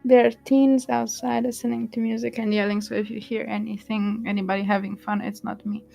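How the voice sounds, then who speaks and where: A woman's voice: a little high, little pitch movement, a little fast, creaky, mellow, faint music behind. A woman whose first language is Romanian, chatting casually on a stream and teasing her co-host with frequent laughter. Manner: giggly, teasing.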